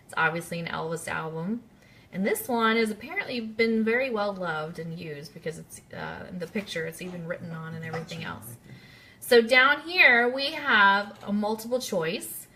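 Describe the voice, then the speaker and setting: A woman with a bright, forward voice hosts a live radio stream. She talks in a chatty, casual way, putting questions straight to her listeners as a quiz host. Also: medium pitch, expressive, normal speed, a little hiss or hum. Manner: chatty, interactive, addresses listeners directly.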